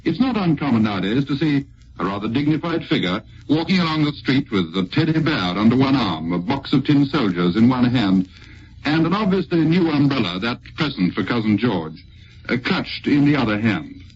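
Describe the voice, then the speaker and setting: British wartime radio presenter, muffled old recording. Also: male voice, medium pitch, sing-song, moderate pace, a little hiss or hum. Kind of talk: 1940s wartime radio broadcast, scripted narration. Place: radio studio.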